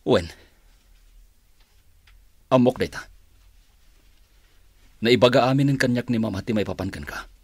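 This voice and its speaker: A man's voice: lowish voice, breathy edge, no echo. An actor playing a bitter adult son who confronts his father, accusing and emphatic, in a radio drama. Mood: bitter, insistent. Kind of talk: Philippine radio drama acting.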